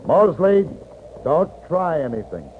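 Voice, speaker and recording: A man playing a steady western gunfighter, firm but compassionate, in a 1950s radio drama. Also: fairly high pitch, takes it slowly. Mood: commanding, threatening. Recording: noisy, tinny old radio broadcast.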